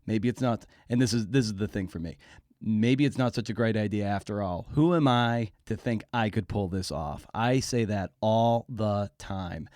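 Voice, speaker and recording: A man with a fairly low voice speaks as a podcast host in a close, dry recording. He talks casually and haltingly, doubling back on words as he works toward his point. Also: darkish tone, no room sound. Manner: halting, repeats words while building a point. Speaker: podcast host interviewing an author. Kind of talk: casual conversational interview.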